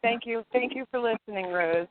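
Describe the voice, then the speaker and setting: A woman co-hosting a casual talk show, warm and effusive with the callers. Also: a little high, normal speed, a little hiss or hum. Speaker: co-host. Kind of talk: casual chat. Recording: dry, phone-like call audio.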